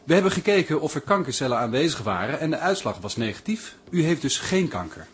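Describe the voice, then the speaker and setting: An actor playing a formal, patient, good-humoured doctor in a radio drama. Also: male voice, on the high side, big pitch swings, quick pace, background noise.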